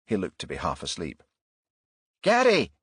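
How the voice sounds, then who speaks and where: A man's voice: sits low, sing-song, moderate pace, slightly rough, no room sound, studio quality. A man reading scripted crime fiction narration as an audiobook narrator.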